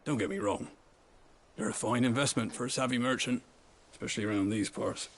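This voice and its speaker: An actor voicing a chatty, blunt stable master in a video game, with a wry, folksy manner. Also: male voice, medium pitch, a little fast, close to an everyday voice. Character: gruff, wry male stable master, chatty working man. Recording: game music behind voice.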